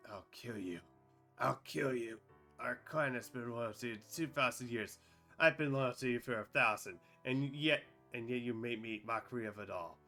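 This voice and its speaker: A man's voice: mid-range voice, moderate pace, soft background music. A man voicing a raging betrayed beast-clan lord. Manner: shouting, raging. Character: furious loyal male beast-clan lord, betrayed warrior. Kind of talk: fan dub of an animated fantasy scene.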